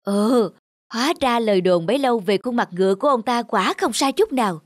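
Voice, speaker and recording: A woman's voice: a little high, expressive, a little fast, no room sound, studio quality. A woman performing all the voices of a cartoon folk tale, acted with expression, over music. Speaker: narrator and voice of all characters. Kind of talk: cartoon voice acting. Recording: music behind.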